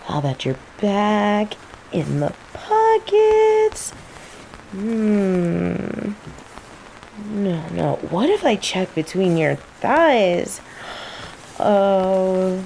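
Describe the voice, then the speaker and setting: A woman's voice: medium pitch, extremely slow, vocal fry, pauses often, heavily acted, low-quality recording. A woman playing a teasing rival mage who interrogates a captive with casual, coaxing menace in an audio roleplay. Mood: casual, searching. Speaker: voice actor in scripted audio roleplay. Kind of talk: intimate scripted audio roleplay acting. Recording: steady rain noise behind the voice. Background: rain.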